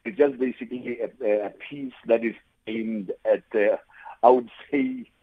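A South African man giving conversational interview answers in a halting way, often saying "you know". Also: medium pitch, very animated, gravelly, slightly rough. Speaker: guest, theatre playwright and producer. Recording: tinny phone-like line.

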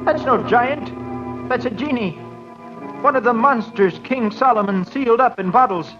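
A greedy, feverish schemer with a very high, urgent voice. He is high-strung in the manner of 1940s radio horror, on a muffled old recording with music behind. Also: moderate pace. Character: feverish, greedy, panicky male collector after a magic bottle.

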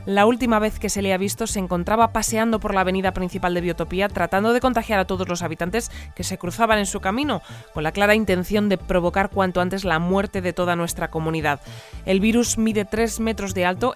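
An actress playing a composed female news presenter delivering a scripted bulletin in a measured way. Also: medium pitch, lightly acted. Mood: composed, announcing. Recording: music bed behind the voice.

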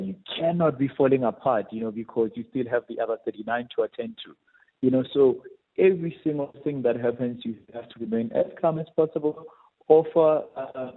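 A man's voice: mid-range voice, phone-quality sound. A South African man giving explanatory interview answers as an air traffic controller, often saying "you know". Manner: frequent "you know" as a verbal habit.

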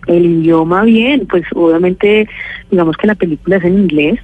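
A woman's voice: on the low side, moderate pace, no room sound. A woman with a Colombian accent, giving a phone interview as an actress and talking through her answers with many fillers and restarts. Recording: tinny phone line, dry.

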